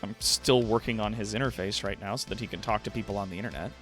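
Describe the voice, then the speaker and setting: A man livestreaming in casual chat with viewers, thinking aloud in loose, halting phrases. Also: on the low side, a bit bright, soft background music.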